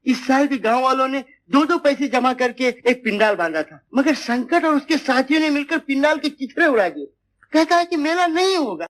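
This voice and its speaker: An actor playing a cowardly, boastful, fawning village lawyer in an excitable 1950s Hindi film comedy style. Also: male voice, extremely high pitch, moderate pace. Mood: pleading, flustered. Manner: obsequious, excitable, blustering, pleading. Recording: old 1950s film soundtrack with music.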